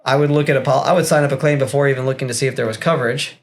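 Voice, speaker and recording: A man in his forties from Miami, a guest on a podcast, talking casually in a blunt, profane way and quick to agree. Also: medium pitch, fairly even intonation. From Miami, United States. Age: 40s. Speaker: guest, business coach. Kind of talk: casual conversation.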